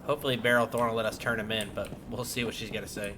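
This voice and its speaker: A man's voice: lowish voice, steady tone, racing. A man doing casual, playful gameplay commentary as a streamer, chatting to himself and his viewers. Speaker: game streamer. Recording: clear background noise over commentary.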